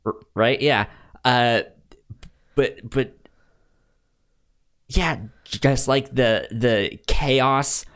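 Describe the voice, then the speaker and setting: American man, podcast co-host. Unhurried, stumbling, casual delivery with mid-range pitch. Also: a bit bright, clear, resonant tone. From United States. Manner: halting, repeats words and syllables as he thinks aloud. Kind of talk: casual film review chat.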